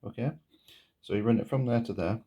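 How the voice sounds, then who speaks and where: A man giving a casual, step-by-step hands-on demonstration in a halting, explanatory way. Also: medium pitch, warm tone, breathy edge. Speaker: presenter of a gadget demonstration video. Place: indoors at a wooden table.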